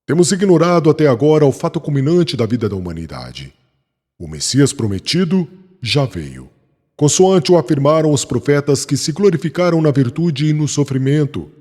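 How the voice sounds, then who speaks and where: An actor with a Brazilian accent playing a fervent young former rabbi who preaches in a solemn, formal, declamatory way for a dramatized audiobook. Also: male voice, on the high side, sing-song, normal speed, darkish tone. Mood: solemn proclaiming. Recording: music bed under the voice.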